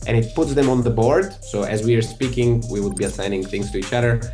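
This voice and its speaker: A man's voice: mid-range voice, fast, music in the background. A man from Bulgaria, a company founder, explaining in a webinar with fillers and step-by-step lists. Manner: explanatory, with fillers and listing steps. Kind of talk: live webinar conversation. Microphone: video call.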